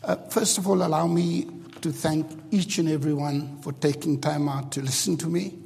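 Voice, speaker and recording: A man whose first language is Afrikaans, preaching a sermon in English with halting restarts and rising emphasis. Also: on the high side, fairly even intonation, creaky, husky. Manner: starts quiet and halting, builds to loud emphatic preaching. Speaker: pastor. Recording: long hall reverb.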